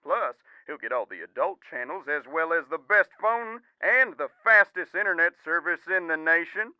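An actor playing a polite, unflappable cable company manager who keeps upselling in a comedic audio drama. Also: male voice, on the high side, lively intonation, moderate pace, smooth, dry recording, highs cut off, natural voice. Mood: upbeat pitch. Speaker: customer service manager.

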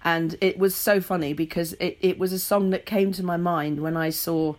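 Middle aged british woman, lowish voice, chatty interview guest talking about her work. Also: fairly even intonation, moderate pace, darkish tone. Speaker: pantomime writer and director, guest. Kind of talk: animated casual interview chat.

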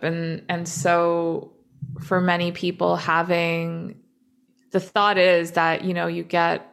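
A young woman who grew up in Canada, speaking as a guest in an interview and talking thoughtfully, with frequent pauses, fillers and restarts. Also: lowish voice. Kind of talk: reflective interview talk.

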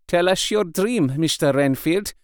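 A man voicing a stern, grave older male professor in an audiobook, measured and serious. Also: high-pitched, clear, resonant tone, dry recording, clean studio sound, voice pushed up. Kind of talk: audiobook character reading.

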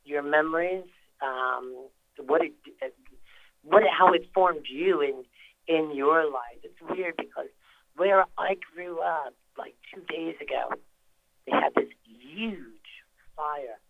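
A woman's voice: deep voice, slow, vocal fry, narrow, tinny audio, a little hiss or hum. An older woman, an actress, talking in a phone-in radio interview, chatty and rambling with lots of fillers and restarts. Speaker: actress guest. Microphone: phone call.

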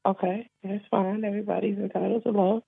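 A woman with a gravelly, husky voice answers bluntly. She comes through a thin, tinny phone line. The sound is dry. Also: medium pitch, little pitch movement, fairly quick, dry recording. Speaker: prank call target.

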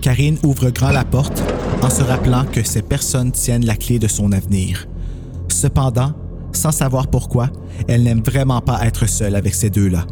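A man's voice: mid-range voice, moderate pace, over music. A man narrating a scripted horror story as a podcast host.

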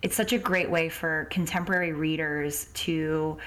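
Female podcast interviewer, slightly low voice, casual and chatty with a fan's enthusiasm. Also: fairly even intonation, normal speed, fairly bright tone, poor audio.

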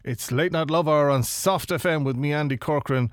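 A man's voice: a little high, brisk, no echo, close to an everyday voice. An Irish man playing a self-important, put-upon late-night love-song radio presenter in a comedy radio play, bossy and dry in his delivery. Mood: on-air patter.

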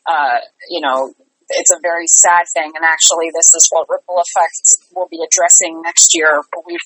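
Casting: woman, interview guest, lowish pitch, bright forward tone, earnest and emphatic delivery. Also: expressive, normal speed, breathy edge, no echo, rough recording. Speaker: interview guest, theatre artistic director. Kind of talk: conversational interview.

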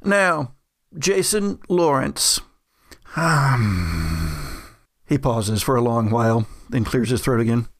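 A man's voice: mid-range voice, big pitch swings, slow, pauses often, no echo, clean studio sound. A man narrating a tabletop game as the game master.

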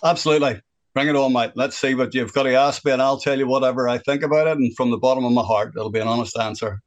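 A man's voice: medium pitch, steady tone, fast talker. A man in his sixties with a Northern Irish accent, a guest on a phone-in radio chat, speaking earnestly and at length about what bothers him. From Northern Ireland. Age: 60s.

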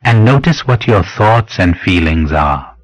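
A man narrating a scripted spiritual meditation in a measured, deliberate, instructive way, pausing between phrases. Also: low-pitched, animated, dry recording, rough recording.

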